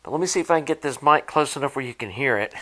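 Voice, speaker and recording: A man's voice: medium pitch, animated, racing, bright, forceful tone. A man giving an informal, hands-on repair walkthrough in an unscripted, conversational way, like a hobbyist presenter.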